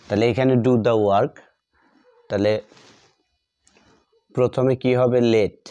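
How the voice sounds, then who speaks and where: A man's voice: medium pitch, steady tone, slow, dark tone, dry recording, highs cut off. A man with a Bengali accent teaching grammar like a classroom teacher, repeating phrases step by step as if dictating while he writes. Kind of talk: classroom lesson, explaining while writing out examples.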